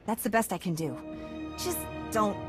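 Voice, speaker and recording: A woman's voice: mid-range voice, lively intonation, moderate pace, music in the background, natural voice. A woman playing a wry, evasive underworld informant in a video game, sarcastic and hedging. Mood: nervous evasion.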